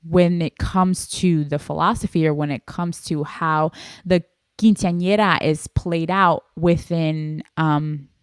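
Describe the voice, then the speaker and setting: A young woman co-hosting a relaxed podcast, steering the talk with animated, chatty energy. Her voice is smooth and on the lower side, recorded close and dry. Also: takes it slowly, no echo. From Panamanian family. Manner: animated, leads the discussion, switches into Spanish for quotes. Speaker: podcast co-host. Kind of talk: casual podcast chat.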